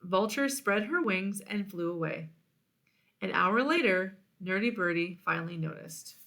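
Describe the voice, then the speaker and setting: Woman, children's read-aloud, storybook narration, unhurried pace, highly varied intonation. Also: animated, bright, forceful tone. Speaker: storybook reader.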